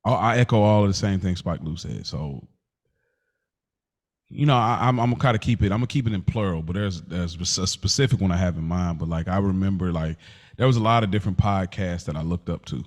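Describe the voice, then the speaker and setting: A man's voice: deep voice, fairly quick. An American man in his thirties co-hosting a podcast, chatting casually in informal, slangy speech. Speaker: podcast co-host. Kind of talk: casual banter and chat between friends.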